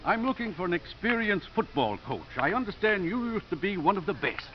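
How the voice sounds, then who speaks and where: Lightly pitched, mild, persistent priest. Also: male voice, big pitch swings, fairly quick. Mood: earnest, persistent. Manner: polite, mild, hesitant but determined. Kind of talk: 1950s radio drama acting. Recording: muffled, hissy old 1950s radio recording.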